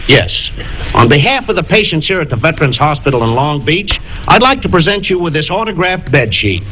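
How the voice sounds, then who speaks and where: A man's voice: fairly high pitch, very animated, fairly quick, pushed and bright. An actor playing an earnest, formal patients' spokesman in 1950s radio comedy, on a hissy old recording. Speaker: patients' representative.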